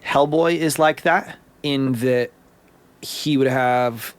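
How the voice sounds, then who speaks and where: A male podcast co-host with a mid-range voice. He rambles animatedly through his thoughts and often goes louder to quote others. His tone is casual and unpolished. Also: medium pitch, slow.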